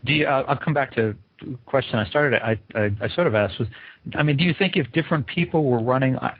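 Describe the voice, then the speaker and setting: A man's voice: mid-range voice, quick pace, slightly rough, no room sound. A man hosting an interview casually, with a rambling, informal, self-correcting way of asking questions. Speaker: interviewer and host. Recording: dry, narrow phone-like sound.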